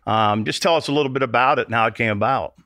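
A man's voice: medium pitch, fast talker, pushed and bright, dry recording, clean studio sound. A man filling in as host, teasing his co-host and introducing the guest with stumbling restarts. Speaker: podcast producer and fill-in host. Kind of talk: casual interview hosting.

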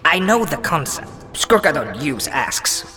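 An actor, a woman, playing a blunt, halting non-human pack creature, a terse outsider in a fantasy audio drama. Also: sits low, big pitch swings, sharp tone, in a large hall, faint music behind, full character voice. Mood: hesitant, puzzled.

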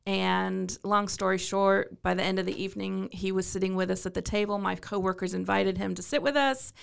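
A woman's voice: medium pitch, fairly even intonation, a little fast, gravelly, smooth. A woman from England, a chamber of commerce staffer, chatting as a guest in a friendly, self-deprecating way with many fillers and restarts. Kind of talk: casual interview chat.

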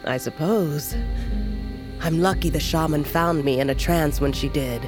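Slow-spoken, solemn old shaman woman. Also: low-pitched. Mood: wry. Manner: solemn, measured, cryptic. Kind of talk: fantasy audio drama acting. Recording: music underneath.

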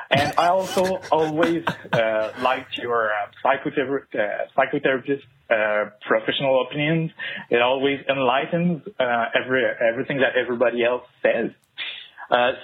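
Halting French-accented man on a phone line. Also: mid-range voice, husky. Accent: Québécois French. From Quebec, Canada. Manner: halting, many filler sounds, sincere. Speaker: caller. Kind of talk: recorded voicemail message.

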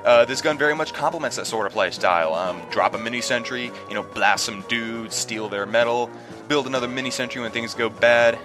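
A man's voice: mid-range voice, brisk, music in the background. A man presenting a scripted advice segment for gamers. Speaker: segment contributor giving game weapon tips.

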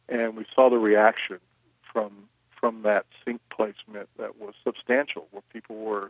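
A man who runs a record label, answering interview questions in a measured way, with frequent "you know" fillers. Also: lowish voice, no room sound, phone-quality sound.

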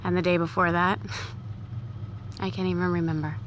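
Slightly low female voice, weary eccentric nurse acted by a woman, matter-of-fact and darkly blunt, audio fiction, noticeable ambient noise. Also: little pitch movement, normal speed, close to an everyday voice. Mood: grim, matter-of-fact.

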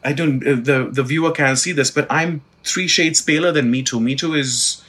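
A man's voice: mid-range voice, normal speed, a bit bright. A middle-aged man from India, a stage actor, talking bluntly and with animation about his career, swearing now and then. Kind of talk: candid conversation.

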